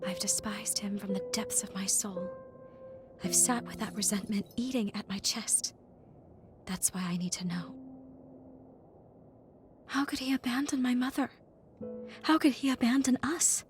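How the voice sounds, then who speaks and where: Actress doing a gentle caring young healer lady from a video game, earnest, clear wording, music under it. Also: medium pitch, lightly acted. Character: caring young female healer companion with a bitter family grudge. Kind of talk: video game cutscene voice acting.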